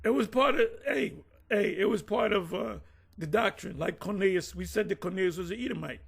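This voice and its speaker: High-voiced man talking bluntly. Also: a little fast, creaky, slightly rough. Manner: blunt, profane, rambling with many restarts. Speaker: former group member recounting events. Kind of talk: casual conversation.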